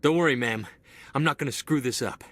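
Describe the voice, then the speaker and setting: An actor playing an eager, restless young male corporal in a video game cutscene, talking in an excitable, boyish way. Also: medium pitch, fairly quick, lightly acted. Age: young adult.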